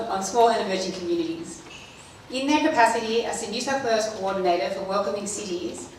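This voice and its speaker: A woman hosting a session, reading a formal scripted introduction in a measured way. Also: mid-range voice. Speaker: session host introducing a speaker. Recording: very echoey hall, distant mic, faint noise.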